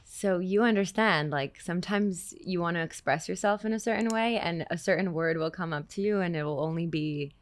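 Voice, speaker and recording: A young woman from Canada, a singer guest, talking in a relaxed, reflective way and laughing easily. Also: fairly low pitch, a little fast, hardly any pauses. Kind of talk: casual interview chat.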